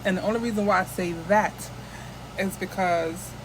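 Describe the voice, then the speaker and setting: A woman's voice: mid-range voice, normal speed, background noise. A woman from the United States chatting casually into her phone camera as a vlogger, rambling and restarting often.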